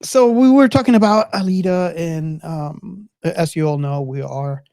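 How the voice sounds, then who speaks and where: A podcast host talking casually to listeners and co-hosts, haltingly and searching for words. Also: medium pitch, warm tone, no room sound, clean studio sound.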